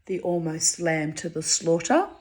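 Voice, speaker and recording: A Hungarian-born woman reading her own diary entries aloud, wry and theatrical, her voice swinging high and low for effect. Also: animated, a little slow. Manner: wry, theatrical, dramatic swings of pitch and loudness.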